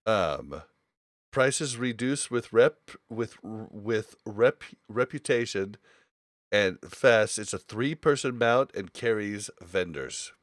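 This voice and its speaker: Low, dry-sounding man chatting casually. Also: expressive, no echo. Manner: informal, thinking aloud in halting phrases. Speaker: podcast host. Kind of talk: casual gaming podcast chat.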